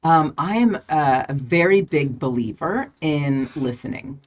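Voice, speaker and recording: A woman from the United States, a visiting English teacher, answering podcast questions in a friendly, halting way with many fillers and frequent laughs. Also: sits low, expressive, a little mellow.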